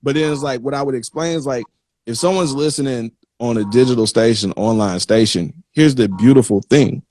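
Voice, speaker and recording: A man's voice: mid-range voice, fairly quick, a little mellow. A man hosting an interview in casual, informal talk, explaining his points with rhetorical questions. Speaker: interview host.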